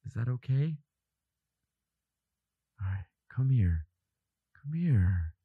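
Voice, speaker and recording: A man plays a devoted boyfriend in an intimate roleplay drama. His voice is mellow and breathy, close and dry. He speaks slowly and haltingly, with tender, protective earnestness. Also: fairly low pitch, no echo, lo-fi sound, exaggerated voice. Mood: tender, soothing. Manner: halting, hushed, earnest reassurance. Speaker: audio roleplay voice actor.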